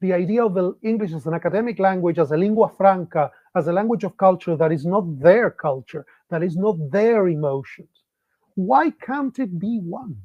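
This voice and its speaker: A man's voice: sits high, dry recording, dull audio. A middle-aged man from Argentina, a Spanish speaker, giving an online talk to English teachers in a reflective way, posing many rhetorical questions.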